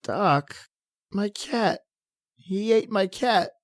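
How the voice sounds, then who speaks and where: A woman's voice: medium pitch, animated, extremely slow, dry recording, studio quality. A woman playing a timid, stammering male lab assistant in an old-time radio horror drama, pleading and easily scared. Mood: frightened.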